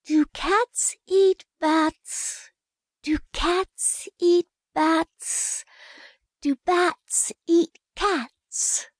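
A woman's voice: extremely high pitch, steady tone, drawn-out words, dry recording, exaggerated voice. A woman voicing a curious, chatty young girl who muses primly aloud to herself in a storybook reading. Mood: sleepy. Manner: musing aloud to herself, prim. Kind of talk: audiobook character acting.

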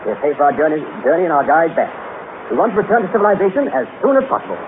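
An impatient adventurer in an old radio play, high-voiced and fast. He blurts his words with agitated, swooping urgency through a tinny, heavily noisy recording. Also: sing-song. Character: excitable, impatient male traveler stranded in wilderness. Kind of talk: 1930s radio acting.

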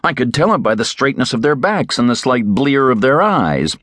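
A man voicing an eager, excitable young man who is lovestruck and pleads breathlessly. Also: mid-range voice, moderate pace, dry recording, clean studio sound, pitched above the performer's own voice. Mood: gushing. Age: young adult. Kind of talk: audiobook character voice.

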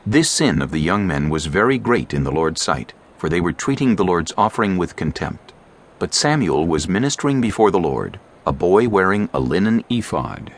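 A man narrating scripture from a script as a measured, expressive storyteller. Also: low-pitched.

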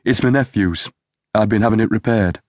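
A man's voice: on the low side, fairly quick, a little mellow, no echo, studio quality, voice pushed up, lightly acted. Man voicing an uneasy, deferential police sergeant. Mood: uncomfortable, deferential. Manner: hesitant, respectful. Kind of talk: audiobook character dialogue.

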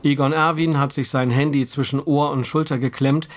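Wry male narrator, medium pitch. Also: steady tone. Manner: wry, measured storytelling. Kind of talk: scripted audio drama narration.

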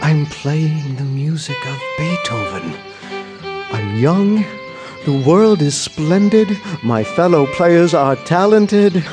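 High-voiced, rapturous young musician. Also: male voice, expressive, slow pace, over music. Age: young adult. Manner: rapturous, declamatory. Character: joyful, poor young German musician on foot. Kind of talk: dramatized audio play acting.

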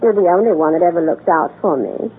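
Vintage radio drama mother: scatterbrained, clinging, genteel, very husky, on a tinny old recording. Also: fairly low pitch, fast talker. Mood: plaintive, clinging. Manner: scatterbrained, rambling, plaintive. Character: vague, fluttery, needy genteel mother of a grown family. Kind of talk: 1930s radio drama acting.

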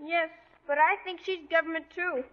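A woman's voice: very high voice, steady tone, moderate pace. A young actress playing a cheerful, plucky, chatty little girl in a radio drama. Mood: earnest. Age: child performer. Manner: earnest, chatty, bossy little helper. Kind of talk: 1940s radio drama acting. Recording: muffled vintage radio broadcast.

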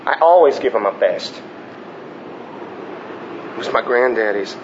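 An actor playing a slow, gentle ballplayer who is dying, in plain, halting country talk. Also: male voice, mid-range voice, animated, highs cut off, background noise, close to an everyday voice. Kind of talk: radio drama acting.

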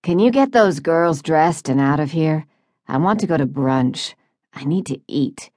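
A woman's voice: low voice, animated, unhurried, darkish tone, no echo, clean studio sound. A woman voicing a wealthy, impatient grown son with a curt manner in an audiobook. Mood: weary. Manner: curt, exasperated.

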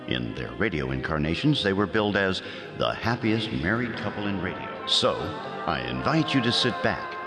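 A man hosting an audio archive show, giving a scripted introduction in a smooth presenter delivery. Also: low-pitched, big pitch swings, moderate pace. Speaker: host. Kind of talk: scripted narration. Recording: reverberant with loud music behind.

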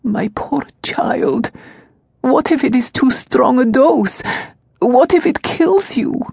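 A woman voicing a grieving old female servant who pleads tearfully, her voice rising high. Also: huge pitch swings, slow pace, gravelly, darkish tone, no room sound, full character voice. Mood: distraught.

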